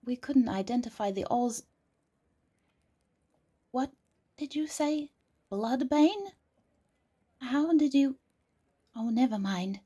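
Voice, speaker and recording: A woman's voice: a little high, lively intonation, takes it slowly, pauses often, warm tone, slightly rough, exaggerated voice. A woman playing a worried, urgent ally in an audio drama. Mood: alarmed.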